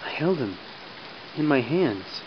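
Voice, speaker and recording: A man acts a young, idealistic army medic in an audio drama, earnest and shaken by war. His voice sits at an average pitch. The sound is a bit dull, with faint rainy hiss. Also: very animated, slow pace. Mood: anguished. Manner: earnest, emotional.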